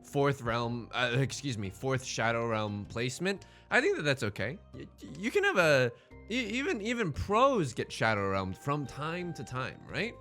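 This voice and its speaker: A man with a bright, forceful voice gives casual game commentary as a host. His pitch swings widely as he rambles, repeats words and drops in joking asides, with faint music behind him. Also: sing-song, moderate pace. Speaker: host of a gaming Let's Play series.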